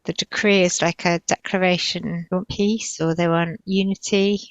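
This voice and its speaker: Woman doing halting trance-like narration, kinda low voice, dry close sound, feeling her way through images. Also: steady tone, no echo, muffled recording. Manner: tentative, halting, searching for images. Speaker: healer describing visions in a session. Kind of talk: slow, improvised trance narration.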